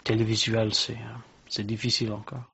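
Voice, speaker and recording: A Scottish man whose first language is English, speaking in an interview with halting phrasing as he searches for words. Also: on the low side, monotone, creaky, sharp tone, breathy edge, lo-fi sound. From Scotland. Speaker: interviewee, husband and father. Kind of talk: casual interview chat.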